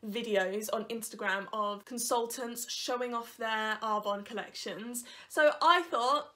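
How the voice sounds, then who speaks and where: Young woman vlogging at home, bit bunged up, chatty and frank, slightly high voice. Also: unhurried, sharp tone. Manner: chatty, candid, laughs between points. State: bunged up from hay fever. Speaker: YouTuber. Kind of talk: casual vlog chat. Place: living room at home. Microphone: camera on a desk in front of her.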